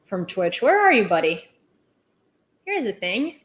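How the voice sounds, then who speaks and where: A woman's voice: mid-range voice, very animated, measured pace, reverberant. A woman from Ukraine with a Ukrainian accent, giving casual livestream news commentary in an outspoken, emphatic way and repeating herself for stress. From Lviv, western Ukraine.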